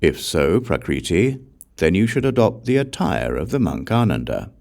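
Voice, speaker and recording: A man voicing a calm, authoritative Buddha in a scripture audiobook, giving measured, grave instruction. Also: deep voice, gravelly, exaggerated voice. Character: calm, authoritative male Buddha, compassionate spiritual teacher.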